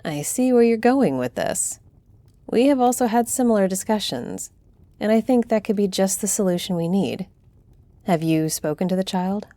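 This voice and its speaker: A woman's voice: medium pitch, animated, moderate pace, dark tone, no echo, close to an everyday voice. A woman playing a solemn, fair-minded griffin-shifter council leader in a fantasy audio roleplay, speaking with formal authority. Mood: measured, approving.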